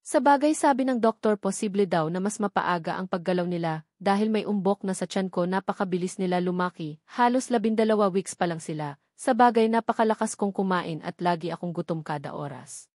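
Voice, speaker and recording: A woman narrates a first-person romance story. Her voice sits at an average pitch for a woman. The sound is dry, with very little room in it. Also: fairly quick, darkish tone, no room sound. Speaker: audiobook narrator.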